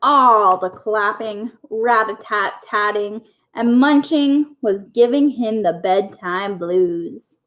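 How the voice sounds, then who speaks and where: A woman storyteller reading a picture book aloud to young children in simple, deliberate phrases. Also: mid-range voice, fairly bright tone.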